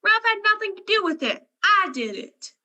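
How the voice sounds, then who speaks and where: Woman doing brazen but brittle noir dame, high and super bright, radio play. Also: big pitch swings, moderate pace, full character voice. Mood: defiant. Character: brazen, teasing young female liar, fragile underneath. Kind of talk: old-time radio crime drama acting.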